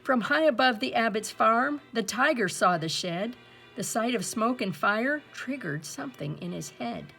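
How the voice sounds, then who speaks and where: A woman reading a rhyming picture book aloud as an expressive storyteller. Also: fairly high pitch, gravelly.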